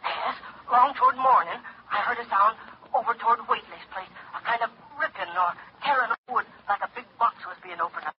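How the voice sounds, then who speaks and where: Twitchy backwoods farmer, rustic halting talk, muffled old radio sound. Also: very high voice, noisy background. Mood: uneasy. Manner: halting, rustic country speech. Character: nervous rural farmer reporting strange tracks. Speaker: farmer on the phone. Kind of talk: 1940s radio acting.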